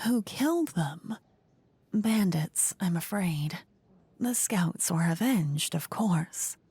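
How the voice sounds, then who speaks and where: A woman voicing a flippant, gleeful queen in a soft-spoken fantasy ASMR roleplay, playful and condescending in a theatrical way. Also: on the low side, animated, slow, slightly rough, no room sound, heavily acted. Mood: playful, commanding. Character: gleeful, flippant queen presiding over her fantasy court.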